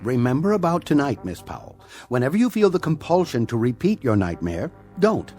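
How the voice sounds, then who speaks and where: An actor playing a measured hospital doctor in a radio drama, speaking in a formal, thoughtful way. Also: male voice, medium pitch, big pitch swings, darkish tone, soft background music, close to an everyday voice. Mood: reassuring.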